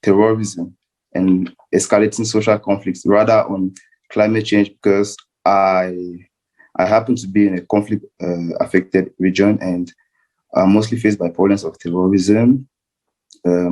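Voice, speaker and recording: Young man, Cameroonian accent, lowish pitch, soft dark tone, rambling panel remarks. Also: poor audio. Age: young adult. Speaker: guest speaker, student climate activist. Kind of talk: informal panel speech.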